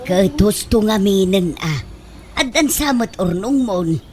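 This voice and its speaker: A woman acting a chatty, scolding character in a comedic radio drama, with an Ilocano Filipino accent and expressive delivery. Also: medium pitch, unhurried, lightly acted. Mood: coaxing. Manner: expressive, animated. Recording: loud music behind the voice.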